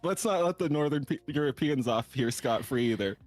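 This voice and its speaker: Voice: medium pitch, expressive, brisk, darkish tone. A podcaster in casual group banter, teasing and often repeating words in a stammering way. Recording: faint music behind the voice.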